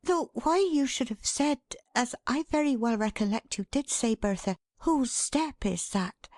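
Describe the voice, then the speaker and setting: A woman voicing an excitable, affectionate, flustered young wife in a storybook reading, breathless and emphatic. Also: a little high, unhurried, no room sound, studio quality, pitched above the performer's own voice. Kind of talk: dramatised classic storybook reading.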